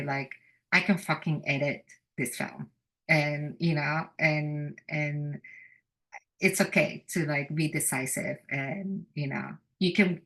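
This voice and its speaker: A woman, a film editor and director, with a loud voice, talking loosely in an interview with many fillers and restarts. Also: sits low, low-quality recording. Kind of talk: casual interview answer.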